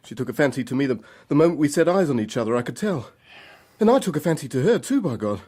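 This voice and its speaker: An actor playing a shaken young man in a radio drama, speaking in halting, urgent, pleading bursts. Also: a little high, very animated, dark tone, husky. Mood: agitated, confessional. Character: agitated, shaken young man confessing to trouble.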